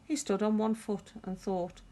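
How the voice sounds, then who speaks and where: A woman narrating a picture book for young children in an expressive teacher's manner. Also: mid-range voice, a little slow. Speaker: primary school teacher.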